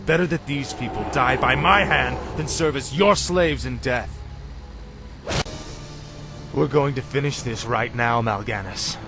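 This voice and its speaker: An actor playing a proud, defiant young prince in a fantasy game cutscene, intense and impatient. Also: male voice, medium pitch, unhurried, pauses often, natural voice. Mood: defiant, furious. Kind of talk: dramatic video game cutscene acting. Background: loud music.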